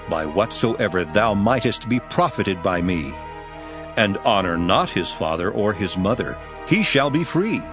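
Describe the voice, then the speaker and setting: A grave holy teacher in a dramatized scripture reading. His low voice moves widely as he declaims with solemn, measured emphasis. Music plays clearly behind him. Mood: stern rebuke.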